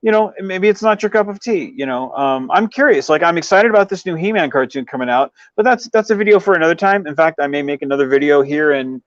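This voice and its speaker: A man's voice: a little high, lively intonation, quick pace, hardly any pauses, no room sound, highs cut off. A man hosting a livestream in casual chat with viewers, rambling and leaning on verbal tics as he talks. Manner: rambling, frequent "you know" verbal tic. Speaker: livestream host.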